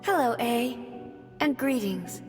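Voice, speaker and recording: An actress voicing a gentle, gracious former ruler in a video game, speaking politely and formally. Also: female voice, fairly high pitch, animated, takes it slowly. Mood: gentle, glad. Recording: long reverb, music behind.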